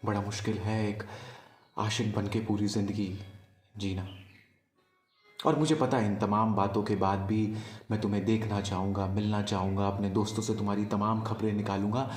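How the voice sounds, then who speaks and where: Male spoken-word storyteller, lowish pitch, poetic and wistful, measured pauses, music underneath. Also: flat delivery, a little mellow, echoey room. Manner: slow, deliberate, wistful delivery with pauses. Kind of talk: dramatic Hindi love monologue, poetic storytelling.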